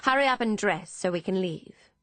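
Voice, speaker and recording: A woman's voice: mid-range voice, animated, moderate pace, no room sound, lightly acted. A woman voicing a stern, protective elder sister in an audiobook, with a grim, commanding manner.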